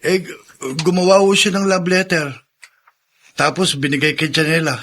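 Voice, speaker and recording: An actor playing an earnest, polite young man in a radio drama, husky-voiced and serious. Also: on the high side, moderate pace, fairly bright tone. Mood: sheepish. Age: young adult. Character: earnest young man, shy then grimly determined.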